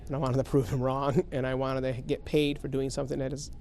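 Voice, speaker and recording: A man's voice: mid-range voice, flat delivery, a little mellow, a little hiss or hum. A man in his late thirties, a comedian, talking casually in an interview with self-mocking jokes. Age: 30s. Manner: self-mocking, quick joking asides.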